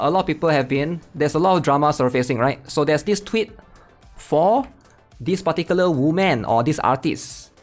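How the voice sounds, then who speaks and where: A man hosting a gaming channel, casually commenting while reading online posts aloud and often tagging sentences with "right?". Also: on the high side. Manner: chatty, tags sentences with "right?".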